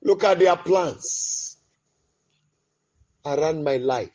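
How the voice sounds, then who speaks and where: A man leading an impassioned, pleading prayer, swinging between loud cries and low murmurs. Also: drawn-out words. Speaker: prayer leader. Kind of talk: fervent spoken prayer.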